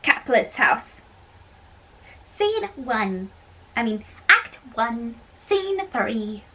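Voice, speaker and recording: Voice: mid-range voice, very animated, extremely slow, bright, forceful tone, a little hiss or hum. A young-sounding reader giving an amateur dramatic reading of a play. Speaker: reader performing all parts.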